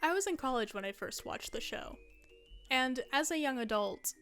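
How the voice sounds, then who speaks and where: Woman, twenties, higher-pitched, casual scripted narration, conversational, wry, soft music underneath. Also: animated, normal speed. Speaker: video essayist.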